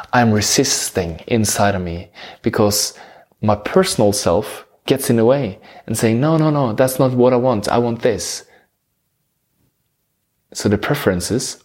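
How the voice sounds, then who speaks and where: A man from Norway with a Norwegian accent, talking slowly about spirituality and surrender, pausing and correcting himself as he searches for words. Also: medium pitch, a little mellow. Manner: slow, searching, with many pauses and self-corrections. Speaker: spiritual speaker. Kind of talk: reflective spiritual talk.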